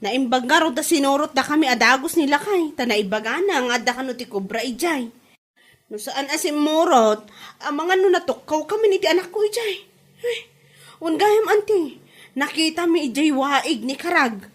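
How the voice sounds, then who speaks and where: A woman acting a fussy village mother, easily disgusted and quick to scold. Her voice is extremely high, with an Ilocano Filipino accent, in comedy audio drama style. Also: lively intonation. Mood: explaining.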